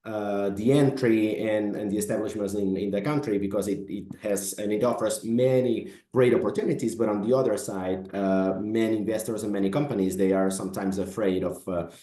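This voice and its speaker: A man's voice: lowish voice, fairly even intonation, a little fast, hardly any pauses, dark tone, echoey room. A middle-aged man from Spain, a business advisor, introducing himself in an online meeting, often stammering and repeating words. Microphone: video call.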